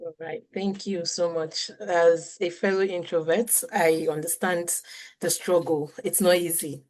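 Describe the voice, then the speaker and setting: A woman hosting an online interview, friendly, laughing lightly and reading audience questions quickly. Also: lowish voice, fairly even intonation, darkish tone, poor audio. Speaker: host.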